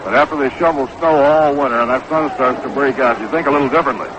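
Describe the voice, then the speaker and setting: American baseball announcer on noisy old radio. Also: male voice, medium pitch, quick pace. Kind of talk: 1960s radio sports commentary. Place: stadium broadcast booth. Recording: dull, noisy 1960s AM radio broadcast.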